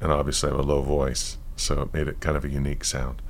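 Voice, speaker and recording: A man in his fifties with an exceptionally deep, low voice, talking through his past at an unhurried pace. He is from California and speaks as a podcast guest. A faint hum of ambience sits under the recording. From California, USA. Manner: relaxed, reflective storytelling. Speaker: podcast guest, yoga teacher. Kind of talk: casual interview conversation.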